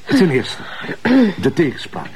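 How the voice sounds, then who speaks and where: A man playing a sceptical, methodical Flemish police detective who questions things and raises measured objections in a TV drama. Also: medium pitch, wildly swooping pitch, darkish tone, slight background noise.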